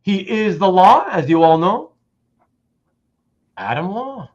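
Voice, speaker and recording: A man hosting a casual livestream chat, speaking haltingly and often repeating words and phrases. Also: high-pitched, sing-song. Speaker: host of a live show. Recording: dull, muffled lo-fi audio.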